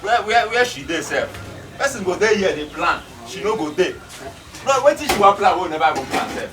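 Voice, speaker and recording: A man's voice: high-pitched, fairly quick, far from the mic, full character voice. An actor playing an agitated young Nigerian man complaining to his boss. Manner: excitable.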